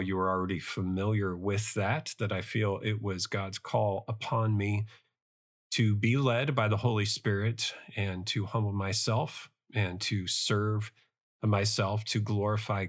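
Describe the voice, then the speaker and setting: Lower-voiced preacher, raspy from a cold. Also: male voice. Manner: earnest, devout, measured phrasing. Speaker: podcast preacher. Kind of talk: Bible study sermon with prayer.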